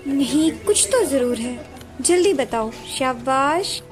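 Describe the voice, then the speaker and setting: Actress as a friendly, generous office friend in an audio drama, high and quick. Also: female voice, animated, faint music behind. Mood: caring. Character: cheerful young woman colleague, generous friend. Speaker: office colleague.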